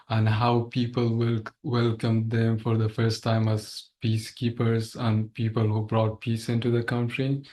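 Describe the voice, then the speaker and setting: A man's voice: medium pitch, darkish tone. A young man from Afghanistan giving an earnest webinar talk over a video call, often restarting his words. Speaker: guest speaker.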